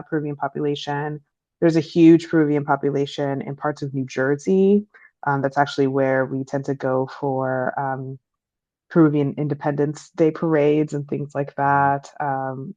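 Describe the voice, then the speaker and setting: A young adult woman from Peru, speaking as an interview guest over a remote call, reflective and thoughtful, with frequent um fillers. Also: dry recording. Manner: reflective, many um fillers, rising pitch when animated. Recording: dull lo-fi call audio.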